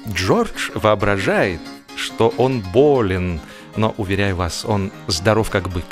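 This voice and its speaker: A man with a fairly low voice reads comic literary prose as an audiobook narrator. His phrasing is expressive and theatrical, delivered with mock seriousness. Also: slow, faint music behind. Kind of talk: scripted humorous literary narration.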